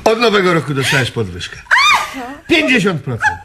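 A man's voice: fairly high pitch, wildly swooping pitch, normal speed, heavy background noise. An actor in a radio comedy playing a generous businessman and devoted mother's boy, effusive, with stumbling starts to his sentences. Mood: expansive. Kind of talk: radio comedy drama acting.

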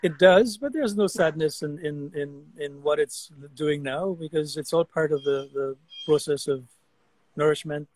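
A man's voice: on the high side, measured pace, dry recording, low-quality recording. Older man telling stories on a video call. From Guyana. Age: 60s. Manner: animated storyteller, frequent repeated words. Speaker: guest artist. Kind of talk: casual video-call conversation. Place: outdoor deck among trees. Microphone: video call webcam.

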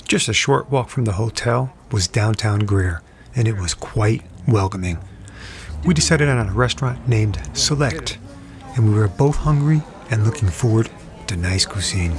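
A middle-aged man giving an upbeat, relaxed voice-over narration for his travel vlog. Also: lowish voice, normal speed.

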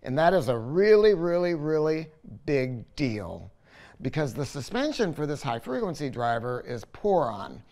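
An older man with a somewhat high voice talks to camera as an audio dealer reviewing gear. He is informal and opinionated, hammers points home by repeating words, and speaks conversationally. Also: sing-song, a little slow, darkish tone. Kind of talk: informal video product review, talking to camera. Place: listening room at home.

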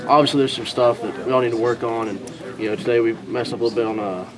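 A young man as a college football player, answering reporters in a halting way with many fillers and restarts, recorded on handheld recorders held close to him. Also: medium pitch, steady tone, a crowd in the background, rough recording. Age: young adult. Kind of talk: post-practice media scrum answers. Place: indoor hallway, crowd of reporters.

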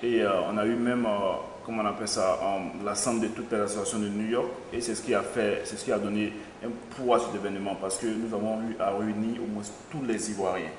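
A young man with a lowish voice answers questions as an event organizer, halting often on fillers. He is recorded in an echoey studio with a long reverb tail and a faint hiss. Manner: hesitant, many fillers, lively bursts. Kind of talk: interview answers. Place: small studio with logo backdrop.

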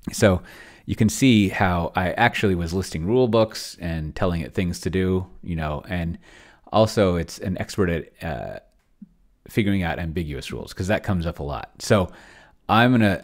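Very deep-voiced middle-aged man, casually rambling. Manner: rambling, self-interrupting, offhand. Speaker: host of a tabletop roleplaying tech channel. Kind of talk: casual unscripted screen-share walkthrough. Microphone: desk microphone close to the mouth.

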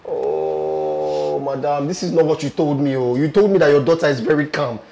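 A man's voice: mid-range voice, very animated, normal speed, echoey room, crowd noise, close to an everyday voice. An actor playing an indignant, injured man in a drama, emphatic and repeating his complaints. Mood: aggrieved. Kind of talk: film drama dialogue with comic exasperation.

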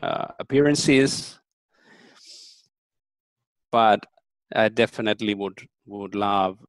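German man, thirties, slow, earnest, pausing with ums, dry sound. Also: on the low side, no echo, rough recording. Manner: earnest, thoughtful, pauses with ums. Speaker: interview guest. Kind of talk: reflective interview answers.

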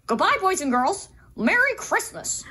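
A woman voicing a sweet, excitable young bear cub in a children's puppet show, childlike and earnest. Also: very high-pitched, measured pace, full character voice. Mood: cheerful.

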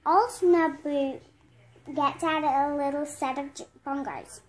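An eight-year-old girl reading a picture storybook aloud at home, haltingly and word by word, with stumbles. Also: high voice, steady tone, darkish tone. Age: child, 8 years old. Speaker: young reader. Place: indoor room at home.

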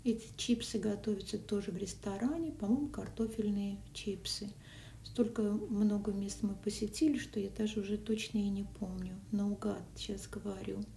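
Soft-spoken woman vlogging on a phone. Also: medium pitch, fairly even intonation, moderate pace, creaky. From Russian-speaking country. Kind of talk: casual travel and food vlog narration. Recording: echoey, from a distance, faint noise.

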